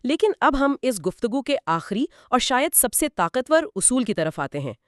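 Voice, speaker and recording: Animated, inquisitive woman in a dry recording. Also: on the high side, normal speed, no echo. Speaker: co-presenter asking and summarizing. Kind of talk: scripted two-person explainer dialogue.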